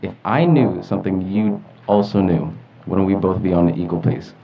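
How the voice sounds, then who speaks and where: A man's voice: deep voice, normal speed. A young American man chatting casually as a podcast panelist. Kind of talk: casual chat. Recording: dull audio with faint room noise.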